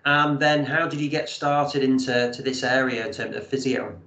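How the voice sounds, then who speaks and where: A man's voice: medium pitch, little pitch movement, moderate pace, pushed and bright, roomy sound. A man hosting an interview and asking questions in a friendly, hesitant way. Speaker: interviewer host.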